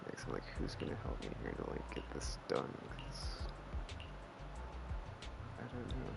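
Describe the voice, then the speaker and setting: A man giving casual live game commentary in a very deep voice. His intonation swings widely and breaks into heavy vocal fry as he rambles and thinks aloud. Music plays behind him. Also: wildly swooping pitch, unhurried. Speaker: game streamer.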